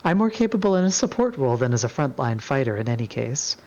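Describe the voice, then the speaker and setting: A man's voice: medium pitch, sing-song, quick pace, darkish tone, lo-fi sound. A man voicing a polite, earnest young goddess with a formal, courteous manner and a touch of steel.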